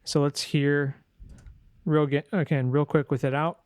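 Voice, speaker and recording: Casual tutorial man, slightly high voice, noisy recording. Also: level tone, measured pace. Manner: informal, thinking aloud while demonstrating. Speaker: sound design tutorial presenter. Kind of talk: casual screen-share software tutorial.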